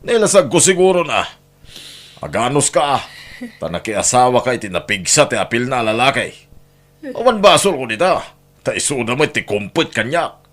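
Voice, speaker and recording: A man playing a callous, short-tempered, domineering husband and father with an Ilocano accent in a radio-style family drama, gruff and scornful. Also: mid-range voice, big pitch swings, normal speed, fairly bright tone. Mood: defiant, scornful.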